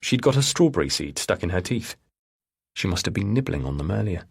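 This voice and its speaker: Audiobook narrator, male, deep register with a husky grain, deliberate delivery of scripted thriller prose. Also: very animated.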